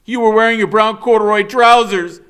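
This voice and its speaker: A man's voice: high voice, steady tone, normal speed, a bit bright, smooth, pitched above the performer's own voice. A man voicing a frightened but defiant young boy in an audiobook, speaking urgently and insistently. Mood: urgent, accusing. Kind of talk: dramatic audiobook character reading.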